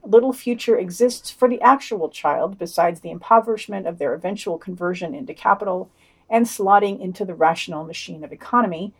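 A woman's voice: lowish voice, brisk, breathy edge, low-quality recording. A woman co-hosting a podcast, reading a scripted news digest and quoted passages aloud. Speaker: podcast co-host.

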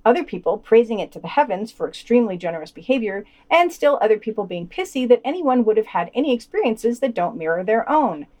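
A woman's voice: on the high side, very animated, fast talker, few pauses, darkish tone. A woman hosting a podcast, reading scripted news items and quotations with dry, sardonic commentary.

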